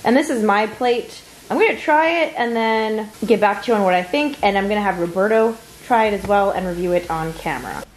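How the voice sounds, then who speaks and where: A woman's voice: mid-range voice, brisk, hardly any pauses. Chatty young woman vlogging in an echoey kitchen. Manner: chatty and upbeat, thinking aloud while cooking. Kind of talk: casual cooking vlog chat. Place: small home kitchen and apartment indoors. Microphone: handheld camera close to face. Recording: echoey room with faint background noise.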